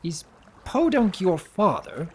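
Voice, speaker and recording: A man voicing an impatient, curt but soft-hearted unicorn baron in a dramatic story reading. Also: high-pitched, big pitch swings, slow, slight background noise, exaggerated voice. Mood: baffled. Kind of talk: dramatic reading of fan fiction.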